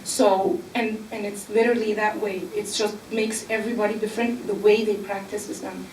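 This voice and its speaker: A woman from Egypt speaking on a panel, explaining earnestly with filler pauses. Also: medium pitch, level tone, a little mellow, far from the mic, a little hiss or hum. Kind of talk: panel discussion. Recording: echoey room, mic from afar.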